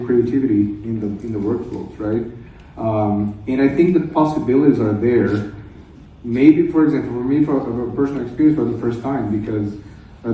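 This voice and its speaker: A man's voice: mid-range voice, dark tone, a little hiss or hum. Native Portuguese-speaking man, very muffled and echoey. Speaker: conference panelist, translation technology professional. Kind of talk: informal panel talk. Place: indoor conference room. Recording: echoey conference room, distant mic.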